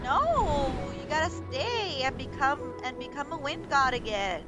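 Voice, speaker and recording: A high-voiced woman chats through her gameplay, excitable and slangy. Her pitch jumps around a lot, and loud game music plays behind her. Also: measured pace. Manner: excitable, repetitive, sweary, slangy. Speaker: gamer, video creator. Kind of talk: casual live game commentary. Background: game music and effects.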